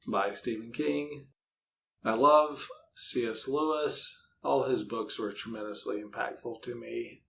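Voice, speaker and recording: A man's voice: medium pitch, unhurried, creaky, a bit bright, poor audio. A man talking casually in a podcast interview, rambling a little as he thinks aloud. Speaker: podcast host and author being interviewed. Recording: faint background noise.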